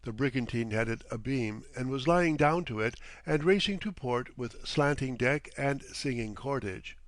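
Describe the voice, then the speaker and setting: A male narrator reads literary fiction with deliberate, dramatic phrasing. His intonation moves widely and expressively. The sound is dry and close, with no room around it. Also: medium pitch, lively intonation, dry recording. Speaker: audiobook narrator.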